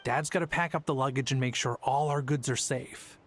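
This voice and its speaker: An actor playing a worried but steady father in a video game, soothing and apologetic. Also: medium pitch, brisk, a little hiss or hum, natural voice. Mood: reassuring.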